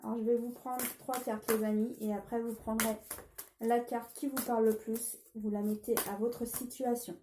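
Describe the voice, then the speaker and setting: A woman's voice: fairly high pitch, little pitch movement, moderate pace, roomy sound, noisy background. A woman with a cold giving a casual tarot reading, hesitant with many fillers and restarts. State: has a cold. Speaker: tarot card reader.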